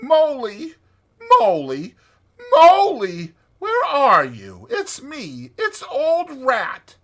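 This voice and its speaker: A man's voice: very high-pitched, wildly swooping pitch, extremely slow, pitched above the performer's own voice, exaggerated voice. A man voicing a hearty, good-natured, capable water rat in a dramatized children's storybook, with an emphatic, jaunty manner. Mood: calling out cheerfully.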